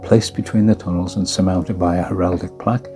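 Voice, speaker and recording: A man reading his own ghost story in a measured, solemn way. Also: low voice, steady tone, mellow. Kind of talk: scripted ghost story narration. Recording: guitar music behind the voice. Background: plucked guitar music.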